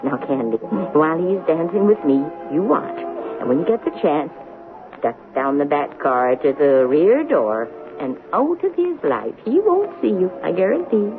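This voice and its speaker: Slow-spoken, lilting elderly lady. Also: deep voice, big pitch swings, heavily acted. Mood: confident, sly. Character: prim, pious elderly woman, kindly and sly. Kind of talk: 1970s radio drama acting. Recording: muffled vintage radio broadcast with music.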